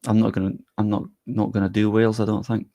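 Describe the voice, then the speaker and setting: A man's voice: on the low side, little pitch movement, quick pace, gravelly, warm tone, no room sound, studio quality. A young man live-streaming, chatting casually with his viewers and thinking aloud as he works on screen.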